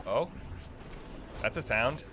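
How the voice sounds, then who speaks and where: A man's voice: medium pitch, lively intonation, takes it slowly. A young man giving casual live gameplay commentary, thinking aloud in a slangy, playfully cocky way. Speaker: gaming video creator giving a quest guide.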